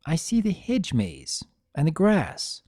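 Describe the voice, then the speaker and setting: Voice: mid-range voice, huge pitch swings, slow pace, dark tone, dry recording, clean studio sound, voice pushed up, full character voice. A performer voicing a stubborn, skeptical young mare pony in a fan fiction reading, insistent and protesting. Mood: grudging, puzzled.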